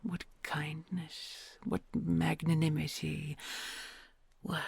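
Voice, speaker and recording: A woman plays a huge ancient dragon queen, kind and doting, who speaks in a hushed, very breathy murmur full of gravelly fry. She draws out her words slowly and teases her human gently. The sound is dry and close, with no room around it. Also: low voice, little pitch movement, no echo, heavily acted. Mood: tender, teasing. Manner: soft hushed storytelling, affectionate and gently teasing. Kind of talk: ASMR audio roleplay.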